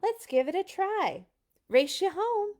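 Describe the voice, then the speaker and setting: A woman's voice: extremely high pitch, very animated, takes it slowly, darkish tone, voice pushed up, full character voice. A woman voicing a bossy, eager older sister, a young girl who gives emphatic instructions in a storybook read-aloud. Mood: coaxing.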